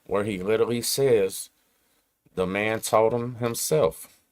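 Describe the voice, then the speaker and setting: A man's voice: on the low side, slow pace. A man, hosting his channel and ranting to camera in emphatic streetwise slang. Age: 40s. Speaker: host. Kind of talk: casual rant to camera.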